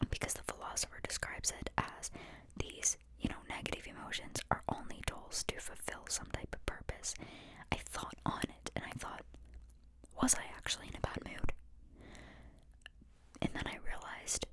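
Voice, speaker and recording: A woman speaking in a breathy, unvoiced whisper, reflecting informally and earnestly in an ASMR style as she questions her own ideas. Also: normal speed, a bit bright. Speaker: host sharing reflections on a psychology book.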